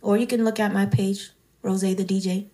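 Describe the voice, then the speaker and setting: A woman's voice: mid-range voice, little pitch movement, normal speed, mellow, recorded from a distance, poor audio. A woman chatting casually as a guest DJ, with a slangy, laid-back way of talking. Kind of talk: casual chat.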